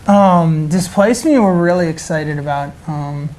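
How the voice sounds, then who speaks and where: A woman's voice: low-pitched, a little slow, a little mellow. A woman giving casual, enthusiastic interview answers as a member of a charity team.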